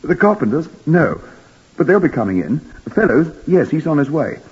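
A fussy, brusque hotel manager. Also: male voice, a little high, big pitch swings, moderate pace, husky, heavily acted. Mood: brisk. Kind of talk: 1940s radio acting. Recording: distant, reverberant lo-fi radio recording.

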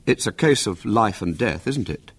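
A man's voice: lowish voice, lively intonation, moderate pace, slightly rough. A man doing a cheeky, offhand schoolboy. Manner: casual, offhand.

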